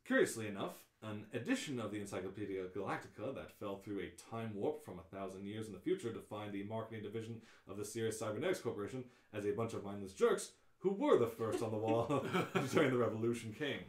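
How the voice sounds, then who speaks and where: A man's voice: on the low side, fast talker, hardly any pauses, roomy sound. A man reading scripted narration as host of an informal live radio-play read-through.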